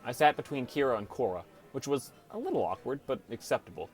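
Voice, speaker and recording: A man's voice: medium pitch, sing-song, quick pace, lightly acted. An actor playing a downcast teenage boy who narrates his gaming adventure in an understated, wry first-person audiobook style. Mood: reflective narration. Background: music.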